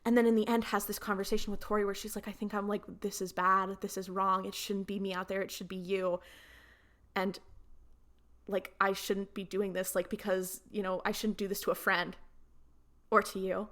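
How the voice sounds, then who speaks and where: A woman's voice: medium pitch, normal speed. A young woman co-hosting a podcast, telling stories in casual fan chat with slangy asides and acted-out quotes. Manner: animated storytelling, frequent quoting and slangy asides. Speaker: podcast co-host.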